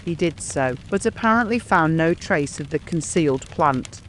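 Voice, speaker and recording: Casting: male audiobook narrator, slightly high voice, measured literary storytelling, ambient noise behind him. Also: expressive, a bit bright. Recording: clear background ambience noise.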